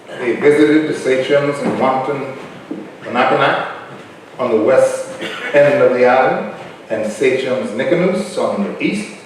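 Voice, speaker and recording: A man's voice: medium pitch, animated, measured pace, lightly acted. A man reading scripted historical narration in a staged reading. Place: staged reading in a reverberant venue. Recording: echoey room from far off, noisy.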